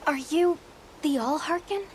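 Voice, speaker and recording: A woman's voice: high voice, measured pace, a little hiss or hum. An actress playing a polite, composed young woman leading an expedition in a video game, articulate and courteous. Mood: respectful. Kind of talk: video game cutscene acting. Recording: very loud music behind the voice.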